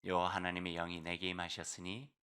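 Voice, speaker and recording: A male pastor preaching a sermon in conversational style, hoarse from a cold, with fillers and slow, emphatic phrases. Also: low-pitched, little pitch movement, pushed and bright.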